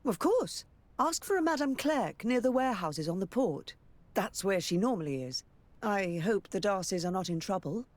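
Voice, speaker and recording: A woman's voice: mid-range voice, big pitch swings, normal speed, no echo, close to an everyday voice. An actress playing a discreet, formally polite noblewoman in a video game. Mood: discreet, cautioning. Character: courteous, discreet well-informed noblewoman. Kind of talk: dramatic video game dialogue.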